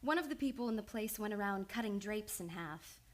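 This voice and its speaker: An actress playing a composed, determined and caring psychoanalyst, earnest and theatrical in an old-time radio play. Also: female voice, medium pitch, animated, brisk, lightly acted. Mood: probing. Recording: studio radio play with music behind.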